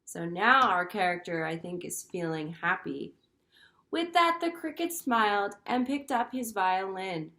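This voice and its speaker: A woman teacher reading a picture book aloud to children, expressive and pausing to explain things simply. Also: medium pitch. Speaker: primary school teacher. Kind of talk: read-aloud to children with commentary.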